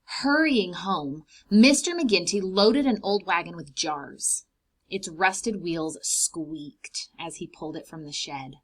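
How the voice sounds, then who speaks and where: Animated, unhurried woman reading to preschoolers. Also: fairly low pitch. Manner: animated, explaining simply to young children. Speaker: read-aloud host for preschoolers. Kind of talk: expressive children's storybook narration.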